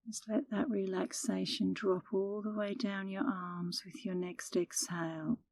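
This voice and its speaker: A middle-aged woman leading a guided meditation in a calm, soothing, unhurried manner, as an instructor speaking close to the microphone. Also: mid-range voice, vocal fry.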